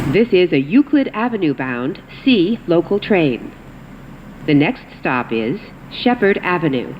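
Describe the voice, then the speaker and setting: A woman reading scripted automated subway announcements as the train announcer, in measured, clearly segmented phrases. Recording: muffled, noisy recording inside subway train. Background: train rumble and ambience.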